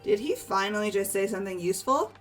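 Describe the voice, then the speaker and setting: A woman's voice: mid-range voice, lively intonation, fast, close to an everyday voice. A frank, impatient teenage girl from a visual novel game, played by a woman. Her mid-range voice is direct and exasperated, with music in the background.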